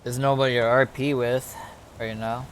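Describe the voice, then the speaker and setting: A man streaming an online roleplay game, chatting casually and haltingly as he thinks aloud. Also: medium pitch, level tone, clean tone. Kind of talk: casual gaming chat.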